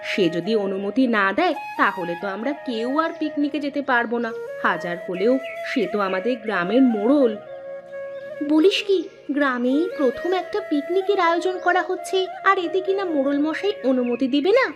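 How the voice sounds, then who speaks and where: Animated, gossipy village woman, acted out. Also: high-pitched, moderate pace, over music. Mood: curious, excited. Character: gossipy village women friends, teasing and animated. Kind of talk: animated cartoon dialogue in Bengali.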